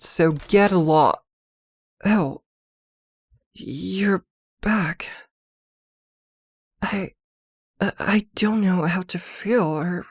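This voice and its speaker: A possessive witch girlfriend, acted by a woman in a fantasy audio roleplay. She speaks slowly in a lowish voice, irritable yet protective, mixing sharp scolding with tender care. The sound is dry and close. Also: no room sound. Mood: irritated, then shocked concern. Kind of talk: ASMR roleplay acting.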